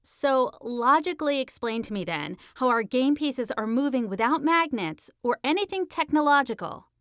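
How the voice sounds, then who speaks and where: A woman plays a teenage girl in an audiobook, sharp and argumentative. Her voice is high and very clean, with slangy, emphatic phrasing, recorded close and dry. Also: lively intonation, moderate pace, no room sound. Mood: insistent, frustrated. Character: sharp, argumentative sixteen-year-old high school girl.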